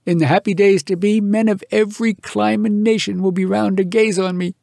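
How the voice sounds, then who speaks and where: A man voicing an excitable, boastful bush settler in a poem, declaiming grandly. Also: high voice, a little fast, vocal fry, dry recording, studio quality, voice pushed up.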